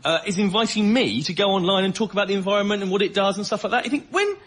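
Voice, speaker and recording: A man's voice: high voice, lively intonation, racing, a bit bright. A man riffing comically as a panel show guest, with an incredulous, mock-exasperated way of ranting. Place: radio panel show before a live audience. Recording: noisy live recording with audience.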